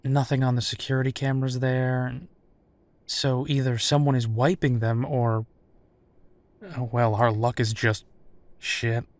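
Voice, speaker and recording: A man's voice: mid-range voice, flat delivery, unhurried, pauses often, no echo, close to an everyday voice. A man playing a wry, foul-mouthed werewolf private investigator in a roleplay audio drama, talking casually. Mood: weary, frustrated.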